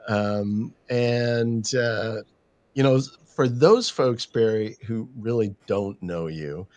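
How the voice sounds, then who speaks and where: A man hosting a live stream, upbeat and halting, with many fillers. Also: fairly low pitch, darkish tone, no room sound. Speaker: host. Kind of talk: casual live-stream chat.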